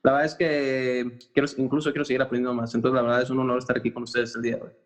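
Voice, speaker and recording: A man's voice: mid-range voice, little pitch movement, very fast. A man, a podcast guest who introduces himself hesitantly, restarting often and tagging on "no?". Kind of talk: casual self-introduction.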